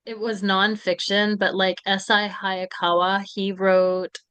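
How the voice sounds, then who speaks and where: Smooth-voiced woman, dry close sound. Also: medium pitch, flat delivery, slow, fairly bright tone, dry recording. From Florida. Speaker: literary agent, guest. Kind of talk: conversational interview.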